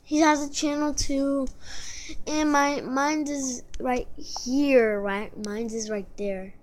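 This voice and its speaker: A woman's voice: high-pitched, fairly even intonation, slow. A woman hosting an amateur video with casual, unscripted chat in a childlike, repetitive manner. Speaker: amateur video host reacting to a video.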